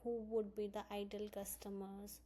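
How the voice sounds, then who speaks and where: A woman presenting an informal software walkthrough, explaining step by step in halting phrases. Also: medium pitch, little pitch movement. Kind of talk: informal screen-walkthrough tutorial narration.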